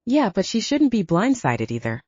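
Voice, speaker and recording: A woman's voice: medium pitch, wildly swooping pitch, moderate pace, darkish tone, no room sound, dull audio, voice pushed up. A woman narrator voicing a cheeky, blunt younger male werewolf in an audiobook. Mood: blunt, teasing.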